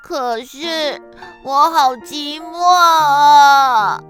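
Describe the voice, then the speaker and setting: A lonely, timid childlike Darkness for a children's radio play. The voice is tiny and very high, with a bright edge. Lines come slowly and plaintively, with wide-eyed drawn-out exclamations, over soft music. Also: female voice, exaggerated voice. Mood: lonely, wailing. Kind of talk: children's radio drama acting.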